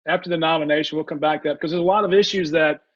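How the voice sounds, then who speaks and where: An older man hosting a radio talk show, speaking in halting, rambling sentences full of fillers. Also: on the high side, fairly even intonation, dry recording. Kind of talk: radio interview chat. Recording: muffled dry audio.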